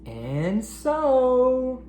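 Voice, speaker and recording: A man reading aloud to children like an educator, with an expressive, encouraging manner that speaks straight to them. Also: extremely high pitch, very slow. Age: adult. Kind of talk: animated children's read-aloud. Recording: roomy echo, faint background noise.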